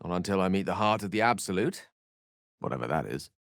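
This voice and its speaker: A man's voice: low voice, animated, fast talker, gravelly, dry recording, studio quality. A man voicing an eloquent, bookish wizard in a video game, articulate and theatrical, with ornate scholarly phrasing and a wry streak. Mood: earnest, grave. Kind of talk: scripted video game character dialogue.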